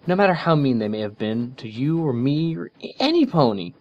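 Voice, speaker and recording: Male reader doing an earnest, principled pony mare, pitched up above his own voice; lo-fi sound with faint hiss. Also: animated, a little fast, natural voice. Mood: indignant. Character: earnest studious young female unicorn pony.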